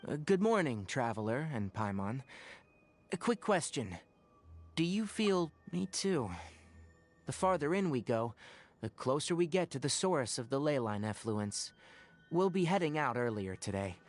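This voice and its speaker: An actor playing a serious, principled young male forest ranger and former scholar in a video game, speaking in a measured, articulate, earnest way. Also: mid-range voice, big pitch swings. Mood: brisk, practical. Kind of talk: scripted game dialogue.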